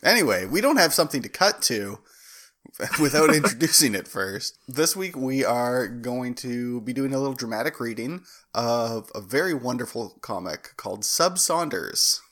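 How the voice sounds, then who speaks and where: Male co-host for casual banter, jokey, animated, with a bright edge. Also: medium pitch, moderate pace. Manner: joking, playful. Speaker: podcast co-host.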